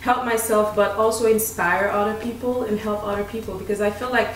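A woman's voice: medium pitch, a little fast, slight background noise. A woman in her thirties with a Haitian accent, a nutritionist chatting casually and laughing often. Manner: animated, laughs often, restarts sentences. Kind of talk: casual chat. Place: home kitchen, indoors. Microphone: desk mic on tripod, camera at a distance. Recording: echoey room, voice from a distance.

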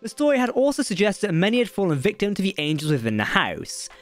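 Male narrator, high and very lively, faint music under the voice-over. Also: fast, no echo. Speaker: video narrator. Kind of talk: scripted fan-channel narration.

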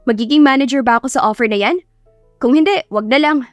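A woman puts on a flustered young Filipino woman in a romance audio drama. She sounds pouty and fairly high, with soft music behind. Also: quick pace, dry recording, natural voice. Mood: eager. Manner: pouty, plain-spoken.

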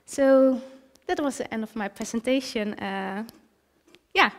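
A young woman speaks from a theater stage with a somewhat high voice. She explains her research earnestly, often stopping to restart a phrase or fill a gap with "uh". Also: measured pace. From Netherlands. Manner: earnest, with frequent fillers and restarts. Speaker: researcher presenting at a talk night. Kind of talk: short stage presentation and Q&A.

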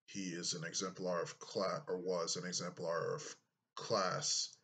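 A man in his thirties with a deep voice, talking to his webcam in a casual vlog from a small room, unhurried and thoughtful, with many fillers. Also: flat delivery, bright, forceful tone. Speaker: YouTuber.

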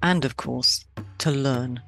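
A woman hosting a podcast, talking through a prepared script in a friendly, measured way. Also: very deep voice, gravelly, a bit bright, soft background music. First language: English. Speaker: podcast host. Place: lives in Switzerland.

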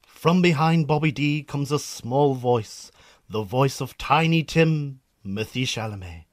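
A man's voice: medium pitch, measured pace, natural voice. A man narrating a scripted radio drama in a theatrical storytelling manner.

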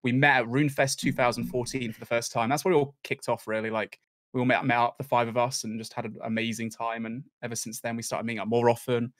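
Fast-talking young man from England. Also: medium pitch, dry recording. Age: 20s. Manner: relaxed storytelling, laughs often. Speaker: guest. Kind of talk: casual podcast chat.

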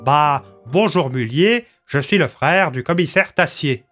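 A man's voice: a little high, animated, measured pace, a bit bright, soft background music, pitched above the performer's own voice. A man voicing a naive, dim-witted, chatty police sergeant in an audio story, hesitant and guileless. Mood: hesitant, earnest.

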